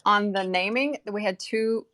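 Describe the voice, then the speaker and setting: A woman's voice: mid-range voice, unhurried, a bit bright. A woman in a casual interview, clarifying details informally as a community project representative.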